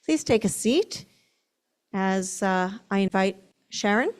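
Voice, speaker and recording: Slow, clear-voiced woman leading prayer. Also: medium pitch, expressive. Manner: measured, formal, enunciated. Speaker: rabbi leading a Shabbat service. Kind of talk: solemn liturgical reading, prayer recitation.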